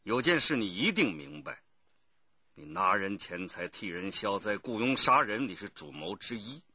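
An actor playing a stern, authoritative police detective chief in a radio crime drama. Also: male voice, lowish voice, animated, moderate pace, bright, forceful tone, husky, no room sound, muffled recording, exaggerated voice. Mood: stern, pressing. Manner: authoritative, pressing.

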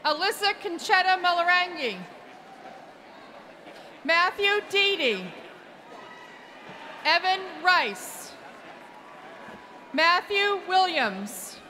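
High bright woman reading names slowly. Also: animated, a faint crowd, a little hiss or hum. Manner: deliberate, clear name-by-name reading. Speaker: name reader at commencement. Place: large indoor arena.

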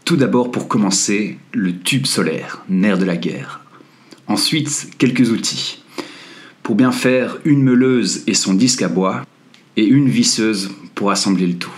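Low-voiced man narrating a DIY tutorial. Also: unhurried, slightly rough. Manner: relaxed, friendly, mixes slang with instructions. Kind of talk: casual DIY tutorial voice-over. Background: faint music.

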